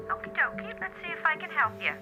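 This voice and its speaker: An actress playing a chirpy, easily flustered receptionist with a Midwestern American accent and a folksy, chatty manner in an audio drama. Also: female voice, very high-pitched, big pitch swings, quick pace, gravelly, music in the background, full character voice, low-quality recording. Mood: chirpy, helpful.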